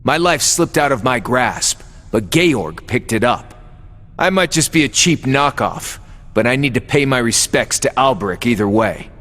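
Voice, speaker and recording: An actor playing a cocky, sardonic rival in a video game, wry and swaggering. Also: male voice, medium pitch, lively intonation, normal speed, a bit bright, natural voice. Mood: wry, challenging. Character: cocky, sardonic masked rival, fighting out of duty. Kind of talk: English video game dub acting. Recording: echoey hall reverb.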